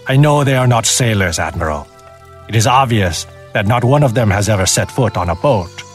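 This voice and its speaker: An actor playing an earnest, honorable Chinese fleet captain with a measured, formal delivery in a game cutscene. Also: male voice, lowish voice, expressive, lightly acted. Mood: grave, restrained. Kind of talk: dramatic game cutscene acting. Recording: game audio with music behind.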